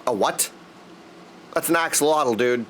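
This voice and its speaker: A man's voice: medium pitch, a little slow, fairly bright tone, slight background noise. An exuberant, slangy man chatting casually with viewers as he livestreams and comments on a game. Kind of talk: casual live stream chat and game commentary. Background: game music.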